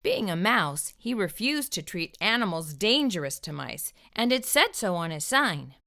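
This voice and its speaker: A woman hosting a children's storytime, reading a picture book aloud in an expressive narrating style. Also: mid-range voice, measured pace. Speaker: children's storytime host and reader.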